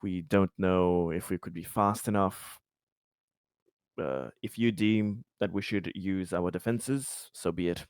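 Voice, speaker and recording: A man playing a tabletop roleplaying game, speaking in character with deliberate, somewhat formal phrasing and casual asides. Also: sits low, smooth, no echo, clean studio sound.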